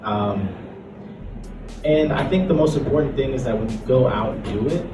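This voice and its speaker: A man's voice: medium pitch, expressive, normal speed. Guy on a panel, far from mic, echoey, kinda rambling pep talk, music behind him. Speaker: panelist, comic writer. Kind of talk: casual panel advice. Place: convention panel room. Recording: echoey, distant, music in background.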